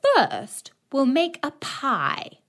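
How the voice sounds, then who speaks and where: Woman acting a wicked old witch, greedy, commanding, jeering, drawn-out words, very animated pitch, storybook style. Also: vocal fry, exaggerated voice. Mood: bossy. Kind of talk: children's fairy-tale storybook voice.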